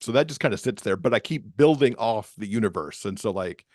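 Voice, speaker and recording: A low-pitched American man talks casually as a podcast guest. He explains things in a chatty, conversational way, and the sound is very dry, with no room on the voice. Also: expressive, brisk, a little mellow, dry recording. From Michigan, USA. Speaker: podcast guest, romance author.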